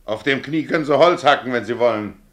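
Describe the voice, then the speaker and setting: An actor playing a stubborn, indignant tram driver in a German radio play, protesting bluntly and colloquially. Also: male voice, mid-range voice, a little fast, a bit bright, natural voice.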